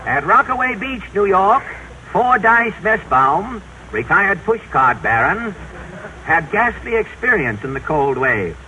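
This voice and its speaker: A man's voice: sits high, big pitch swings, a little slow, pushed and bright. A man announcing scripted items in a formal, declamatory 1930s newsreel style. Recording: muffled noisy 1930s radio recording.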